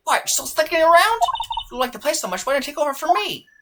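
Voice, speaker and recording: Woman doing a shrill, cranky old lady, scolding. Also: sing-song, fast, heavy background noise. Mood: indignant. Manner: scolding, ranting, indignant. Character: cranky, loud old woman, indignant former studio guard. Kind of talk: video game fandub acting.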